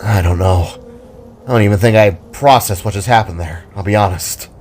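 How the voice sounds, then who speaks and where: A man's voice: on the low side, a little fast, darkish tone, a little hiss or hum, pitched below the performer's own voice, close to an everyday voice. A man voicing an analytical, dry-witted male adventurer in an audiobook, musing and wordy. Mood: dazed. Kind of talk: dramatic audiobook character acting.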